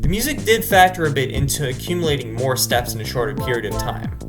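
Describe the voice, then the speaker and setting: A man's voice: mid-range voice, very animated, fast. A man narrating his vlog in scripted voice-over, wry and self-deprecating. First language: English. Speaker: vlogger narrator. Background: background music.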